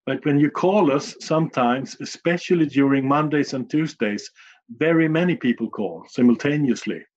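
A man from Sweden with a Stockholm accent, a non-native English speaker answering interview questions in a measured, plain-spoken way with small slips. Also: mid-range voice, dull audio. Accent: Stockholm Swedish. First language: Swedish. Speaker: guest, communications manager.

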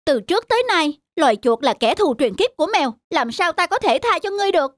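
A woman's voice: extremely high pitch, sing-song, quick pace, no echo, studio quality. A woman voicing a greedy, lazy male cat in a children's cartoon, bossy and scornful. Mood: stern.

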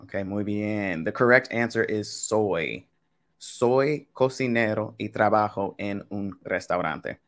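Man, young adult, low voice, casual, chatty, live webcam lesson, teacher. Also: measured pace, fairly bright tone. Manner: casual, chatty, talks to chat with y'all. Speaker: Spanish teacher. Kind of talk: live-streamed game lesson.

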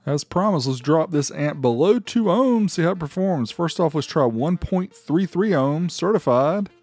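A man's voice: a little high, lively intonation, normal speed, darkish tone, no room sound, soft background music. A man giving a casual, informal product review voice-over as the host, with a folksy way of talking. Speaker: host reviewing car audio amplifiers.

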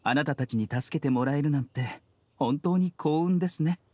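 A man voicing a gentle, composed young healer in an anime game, speaking politely and formally. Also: fairly high pitch, no echo, lightly acted. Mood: mild, pleasant. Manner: polite, soft-spoken, unhurried, formal. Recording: studio voice over background music.

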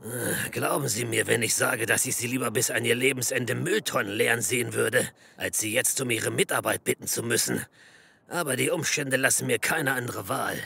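An actor playing a pompous, disdainful police captain in a comedy audio drama, speaking stiffly and formally. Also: male voice, medium pitch, vocal fry, sharp tone. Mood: reluctant disdain. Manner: stiffly formal, haughty, hesitant pauses.

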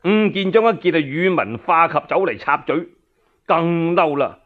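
A man's voice: fairly high pitch, lively intonation, moderate pace, narrow, tinny audio. A man with a Cantonese accent narrating a dramatic tale as a solo radio storyteller.

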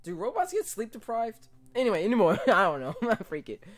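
A young American man giving casual, slangy reaction commentary in a joking, animated way. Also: high voice, brisk, no room sound. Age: young adult. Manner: jokey, animated, slangy, often laughing. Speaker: reaction video host. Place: small room, indoors. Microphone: desk condenser mic with pop filter.

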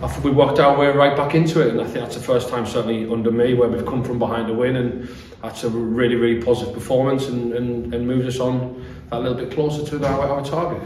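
A man's voice: medium pitch, steady tone, quick pace, darkish tone. A British man in his thirties, a football manager giving a post-match interview, repeating words as he finds his phrasing. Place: small bare-walled room indoors. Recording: echoey room, distant mic, faint noise.

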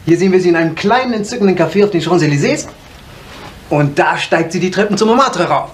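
A man's voice: sits high, animated, fast talker. An actor playing a devoted young man just out of school in a 1970s German radio drama. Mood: excited. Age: young adult. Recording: noisy 1970s radio play recording.

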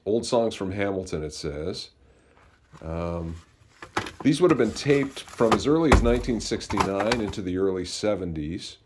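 A man, explaining things in a casual, halting vlog style at home. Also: on the low side, a little mellow, slight background noise. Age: 60s. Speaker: musician and presenter. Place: small rooms in a home.

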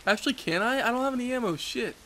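A man's voice: very high-pitched, expressive, rapid-fire, pushed and bright, slight background noise. A congested young man giving jokey, rambling commentary while playing a video game. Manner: rambling, jokey, self-mocking. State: congested from allergies. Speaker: let's play host. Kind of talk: casual gameplay commentary.

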